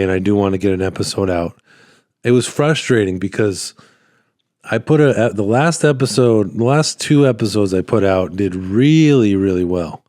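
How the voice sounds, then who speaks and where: A man hosting a casual solo podcast, rambling and halting, with a slightly hoarse voice that cuts in and out as he recovers from being sick. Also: lowish voice, darkish tone, dry recording, studio quality. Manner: rambling, halting, repeats phrases. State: hoarse, voice cutting in and out after illness. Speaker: podcast host, small business owner.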